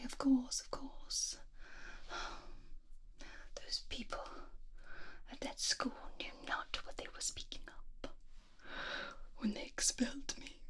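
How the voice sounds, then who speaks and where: A woman playing a doting, obsessive mad scientist who fusses over her creation in a cooing, breathy whisper, as an ASMR roleplay. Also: on the high side, exaggerated voice. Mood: proud, dreamy. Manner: cooing, unhurried, fussy endearments.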